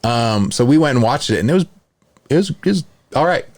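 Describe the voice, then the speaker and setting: A man's voice: mid-range voice, expressive, a little fast, a little mellow. A man hosting a podcast, chatting casually and giving his opinions in a conversational way. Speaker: podcast host. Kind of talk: casual podcast chat about films.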